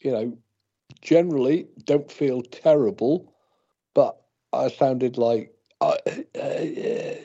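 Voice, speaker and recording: British male co-host, husky and mellow, wry casual chat over slightly dull audio. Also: medium pitch, slow. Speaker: podcast co-host.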